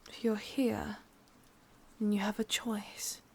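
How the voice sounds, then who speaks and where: Slow, whispering English witch, possessive and sadistic, acted by a woman. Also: medium pitch, little pitch movement, darkish tone, heavily acted. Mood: commanding, controlling. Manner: breathy, slow, menacingly intimate delivery. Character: sadistic, possessive, vain witch obsessed with love. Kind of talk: whispered ASMR roleplay.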